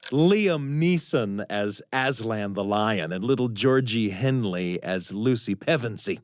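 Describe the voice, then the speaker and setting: Male host for story program: lively melodic delivery, mid-pitched, emphatic pauses, close dry studio. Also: dry recording. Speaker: radio show host. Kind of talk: scripted storytelling-show introduction.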